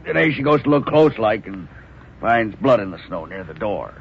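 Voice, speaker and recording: An actor in a 1940s radio drama playing a police desk captain who speaks in rough slang. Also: male voice, fairly low pitch, big pitch swings, normal speed, full character voice. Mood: gruff. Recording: dull lo-fi old radio recording.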